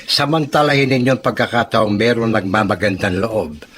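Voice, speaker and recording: Man as low-voiced, fatherly Filipino adviser. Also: moderate pace, a bit bright, lightly acted. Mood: earnest. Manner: admonishing, earnest. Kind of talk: Filipino radio drama acting. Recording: faint background noise.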